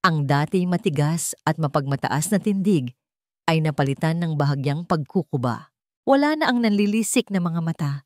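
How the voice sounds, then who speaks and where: A woman reading a scripted dramatic story as narrator, with measured, deliberate phrasing. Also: lowish voice, darkish tone, no echo, studio quality.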